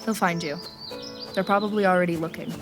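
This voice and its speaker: A woman acting in an audio drama as a dry, sarcastic but loyal traveling companion with a deadpan manner. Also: lowish voice, a little fast, over music, lightly acted. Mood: wry. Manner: deadpan, sardonic.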